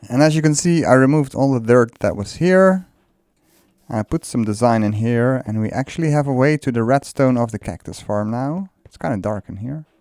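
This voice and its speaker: A man's voice: medium pitch, lively intonation, normal speed, a little mellow, dry recording, studio quality. A man whose first language is Dutch, casually commenting while he builds in a game, musing aloud and laughing often. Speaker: let's play creator.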